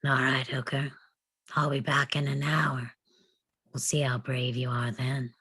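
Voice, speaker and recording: A man's voice: mid-range voice, flat delivery, unhurried, pushed and bright, husky, no echo. A man reading a menacing, terse and cold cowboy outlaw in a live table read of a western script. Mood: threatening.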